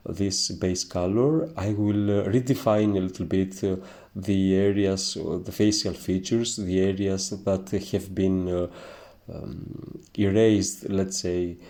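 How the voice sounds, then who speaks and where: A man with a thick Greek accent talks through a painting lesson at an unhurried pace. His voice is low and mellow, and he hesitates often with soft fillers. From Greece. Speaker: painting teacher. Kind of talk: relaxed narrated painting tutorial.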